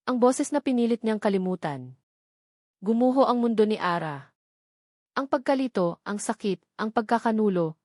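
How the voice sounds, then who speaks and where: A woman's voice: mid-range voice, darkish tone, dry recording, studio quality. A woman reading a scripted romance story as a dramatic narrator.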